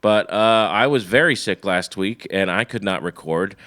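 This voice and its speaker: A man's voice: lowish voice, moderate pace, sharp tone, no room sound, studio quality. A man co-hosting a casual podcast, congested from a cold, curious and playful, asking many questions. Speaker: podcast co-host. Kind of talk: casual chat.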